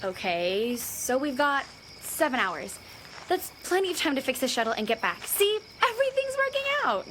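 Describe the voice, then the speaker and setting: An actress playing a headstrong, breezily optimistic young pilot, impulsive and casual, in an audio drama. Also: female voice, high-pitched, sing-song, normal speed, fairly bright tone, slight background noise. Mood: breezy, exasperated.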